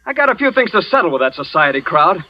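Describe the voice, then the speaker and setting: A man playing a jealous, hot-tempered working-class young man in a vintage radio drama, with gruff, slangy, threatening talk. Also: high voice, big pitch swings, fast talker, dull audio. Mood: angry. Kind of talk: 1940s radio acting.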